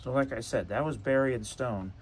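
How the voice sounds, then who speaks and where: A man's voice: medium pitch, a little fast, a little hiss or hum, low-quality recording. A man hosting a video, giving casual, off-the-cuff commentary on audio clips. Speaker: video host.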